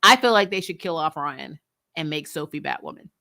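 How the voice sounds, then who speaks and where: Woman, lower-pitched voice, casual recap host, opinionated, blunt, exasperated, swears freely. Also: animated, a little fast, pushed and bright. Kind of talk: casual opinionated fan commentary, replying to live comments.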